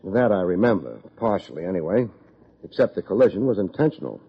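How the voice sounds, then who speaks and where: An American actor playing a wry, self-deprecating private investigator in an old-time radio drama, with a deadpan, wisecracking delivery. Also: male voice, low voice, brisk, vocal fry, husky, exaggerated voice. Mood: dry, matter-of-fact. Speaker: lead actor, narrator. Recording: muffled old radio recording.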